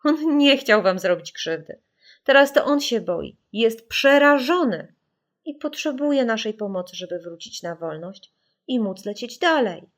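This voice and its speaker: Woman as caring mother, patient, gentle, explaining simply, animated intonation, children's storybook. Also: a little high, unhurried. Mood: reassuring. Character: calm, caring mother reassuring frightened children.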